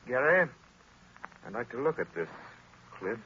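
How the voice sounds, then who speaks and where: A man playing a poised, inquisitive gentleman detective on an old radio broadcast. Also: mid-range voice, big pitch swings, unhurried, highs cut off, heavy background noise.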